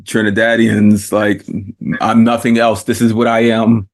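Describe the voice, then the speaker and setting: A man hosting a podcast, talking in a relaxed, hesitant way with fillers and chuckles. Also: lowish voice, measured pace. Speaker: podcast host. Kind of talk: casual interview chat.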